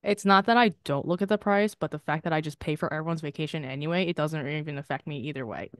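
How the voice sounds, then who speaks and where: A woman's voice: low voice, fast, no echo, clean studio sound. A young woman co-hosting a podcast, talking in rambling casual chat, swearing and laughing often. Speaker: podcast co-host.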